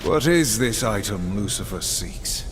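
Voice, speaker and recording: A man's voice: fairly low pitch, animated, a little slow, a little hiss or hum. An actor voicing a grim, duty-bound warrior horseman in a video game, stern, humorless and formal in his speech. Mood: grave, resolute. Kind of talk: video game dramatic acting. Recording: long reverb, loud game music behind.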